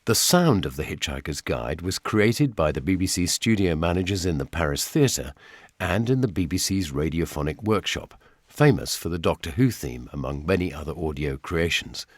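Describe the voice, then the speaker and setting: A man's voice: sits low, animated, moderate pace, no room sound, studio quality. A man narrating a scripted radio documentary.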